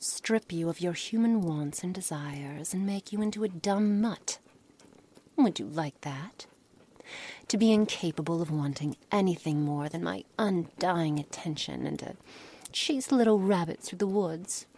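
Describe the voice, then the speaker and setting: A woman playing a cold, curious, menacing witch in an ASMR roleplay, speaking formally and condescendingly, often dropping into breathy near-whispers. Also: on the low side, unhurried, mellow. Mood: menacing, taunting. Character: cold, menacing, curious witch experimenting on her captive pet. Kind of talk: ASMR roleplay, scripted dramatic monologue. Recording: noisy background ambience.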